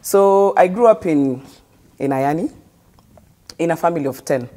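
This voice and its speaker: Mellow-voiced Kenyan woman telling her story. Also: low-pitched, lively intonation, moderate pace. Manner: earnest storytelling, switching between English and street slang. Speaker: guest. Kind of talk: conversational life story.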